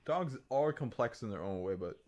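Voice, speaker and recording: A young man co-hosting a podcast in casual chat, animated and blunt, swearing and slipping into mocking quoted voices. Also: medium pitch, moderate pace, highs cut off. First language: not Mandarin. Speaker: podcast co-host.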